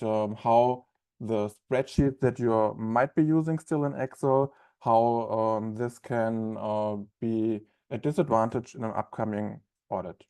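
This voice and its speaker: A man's voice: medium pitch, dark tone, smooth. A young man with a German accent, presenting a webinar in a loose, conversational way with many ums and uhs. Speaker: onboarding specialist presenting a webinar.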